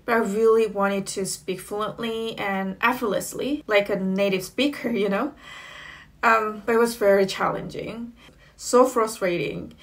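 A woman's voice: mid-range voice, a bit bright. A woman in her thirties whose native language is Korean, giving casual tips to the camera from a small room at home, friendly, with fillers like "you know". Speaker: English learning vlogger.

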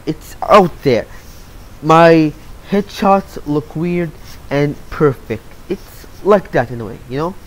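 A man's voice: a little high, takes it slowly, poor audio. A young man from Saudi Arabia answering interview questions about his art in a loose, rambling way. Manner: rambling, many fillers and restarts. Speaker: interview guest, artist. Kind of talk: casual answers to read-out questions.

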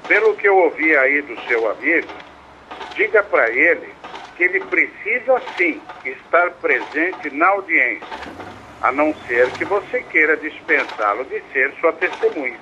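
An actor playing a confident, formal Brazilian lawyer in a radio soap, emphatic and didactic. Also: male voice, a little high, animated, measured pace, sharp tone, dull audio, a little hiss or hum, heavily acted. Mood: instructive. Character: confident, formal lawyer giving firm advice. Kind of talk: radio soap opera acting.

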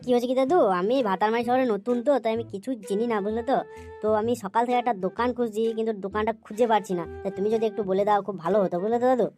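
A woman's voice: a little high, fairly even intonation, very fast, mellow, soft background music, close to an everyday voice. A woman voicing a hapless, wheedling, crude young male boozer in a comedy cartoon. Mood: polite, pleading. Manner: pleading, grumbling, repetitive. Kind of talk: comedy cartoon dialogue and monologue.

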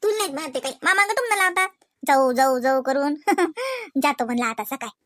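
A woman performs a married village daughter in a comedy cartoon, with a very high voice. She rambles on in homely, colloquial speech with a Varhadi Marathi accent. The sound is close and dry. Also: a little fast, a bit bright, no echo. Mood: protesting, insistent. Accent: Varhadi Marathi, Vidarbha. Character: chatty married village daughter visiting her family. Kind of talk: rural comedy cartoon dialogue.

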